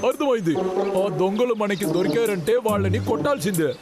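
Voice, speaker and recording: A man voicing a small, feisty younger-brother bear in a Telugu children's cartoon dub, squeaky and exclamatory. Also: lively intonation, brisk. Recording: loud music under the voice.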